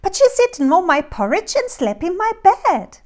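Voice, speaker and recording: A woman voicing a squeaky very small bear cub. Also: very animated, moderate pace, a little mellow. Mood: indignant. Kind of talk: children's storybook character voice.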